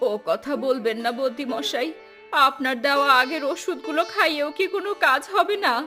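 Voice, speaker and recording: Woman as a high-voiced, ailing old grandmother. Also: a little fast, pushed and bright, faint music behind. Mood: pleading. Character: ailing old village grandmother, meek and resigned. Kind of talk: Bengali cartoon folk-tale voice acting.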